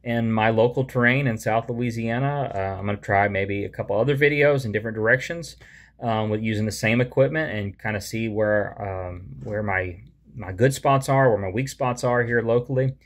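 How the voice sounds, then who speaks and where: A man's voice: fairly low pitch, normal speed. Easygoing man talking to camera. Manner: easygoing, with frequent fillers. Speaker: radio hobbyist host. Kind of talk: casual explanatory talk to camera. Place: small indoor room with a wall map.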